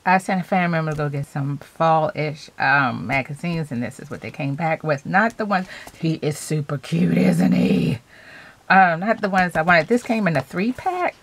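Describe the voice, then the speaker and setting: Congested woman chatting softly while crafting. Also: low-pitched, moderate pace, creaky. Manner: soft, relaxed and chatty. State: congested from a cold. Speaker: craft channel host. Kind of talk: casual chat over magazine cutting. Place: home craft table.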